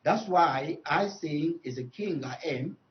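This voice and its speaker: Congolese guy being interviewed, kinda high voice, halting but emphatic, dull lo-fi audio. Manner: emphatic, repeats phrases, halting second-language phrasing. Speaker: traditional leader, interview guest. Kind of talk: live news interview.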